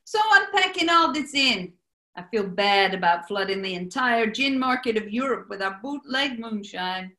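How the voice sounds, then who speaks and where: Woman playing a bright, high-voiced brash American gangster boss. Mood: boastful, sardonic. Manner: theatrical, wisecracking, bossy. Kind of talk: 1940s radio play acting. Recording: roomy echo.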